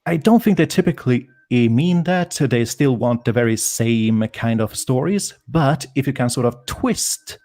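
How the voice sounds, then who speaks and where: A man's voice: medium pitch, lively intonation, unhurried, few pauses, darkish tone. A man, a guest writer on a video call, rambling and thinking aloud with fillers. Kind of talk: casual livestream chat.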